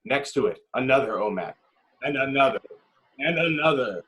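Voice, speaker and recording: A man's voice: mid-range voice, animated, normal speed, a bit bright, low-quality recording. A man reading screenplay stage directions as the dramatic narrator of a table read.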